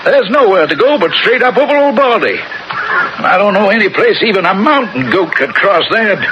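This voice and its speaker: An actor playing a hard-driving, commanding Western rancher in a radio drama, gruff and folksy. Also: male voice, high voice, lively intonation, normal speed. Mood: puzzled. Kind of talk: 1970s radio drama acting. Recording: muffled, noisy old radio recording.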